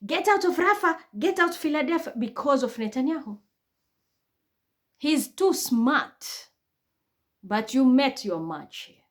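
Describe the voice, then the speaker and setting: A woman's voice: high voice, lively intonation, a little slow, long pauses. A woman with a Kenyan accent giving a blunt, heated monologue, swearing freely and building to outbursts. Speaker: online commentator. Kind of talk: impassioned monologue.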